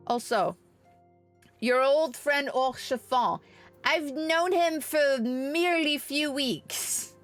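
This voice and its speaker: A woman's voice: sits high, slow pace. A woman livestreaming a game, chatting casually with viewers in a playful, irreverent, slangy way. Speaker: game streamer. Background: soft game music.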